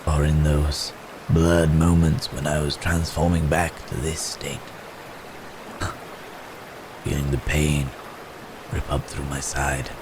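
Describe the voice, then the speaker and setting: A man voicing a gentle, remorseful wounded werewolf in an intimate ASMR roleplay, speaking haltingly and formally, as if in pain. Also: rumbling low, flat delivery, background noise. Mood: haunted, remorseful. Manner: halting, hesitant, soft-mannered storytelling with formal wording. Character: wounded male werewolf, gentle, remorseful, recounting his escape.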